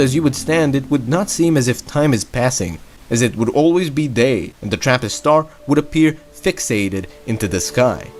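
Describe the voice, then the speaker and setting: Male narrator for an educational explainer, mid-pitched with lively intonation, faint music bed. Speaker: narrator of an astronomy explainer video. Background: background music.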